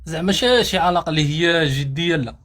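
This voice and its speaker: A man's voice: fairly high pitch, quick pace, a bit bright. A Moroccan man in his thirties on a phone video call into a matchmaking stream, giving brief, hesitant replies. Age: 30s. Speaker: suitor caller. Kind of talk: live-stream chat.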